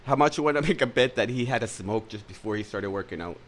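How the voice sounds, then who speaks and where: A man's voice: on the low side, fast talker, background noise. A man streaming, reacting to a clip with sarcastic, crude commentary and rambling asides to his chat.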